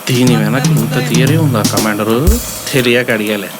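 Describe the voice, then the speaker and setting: Man playing teasing college buddy, mid-pitched, music behind. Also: normal speed, close to an everyday voice. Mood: probing, mocking. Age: young adult. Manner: mocking, slangy, playful. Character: teasing, scheming young male college buddy. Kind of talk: comedy audio drama acting.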